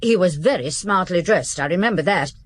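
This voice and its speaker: An actress playing a guarded former servant questioned by the police in a radio drama, dry and evasive, then blunt. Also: female voice, on the low side, lively intonation, fairly quick. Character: guarded, sharp-tongued woman, former servant witness. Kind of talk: 1950s-set radio drama acting.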